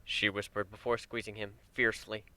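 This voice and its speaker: A man narrating a dramatic reading of fan fiction in an expressive, measured storytelling manner. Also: on the low side, slight background noise.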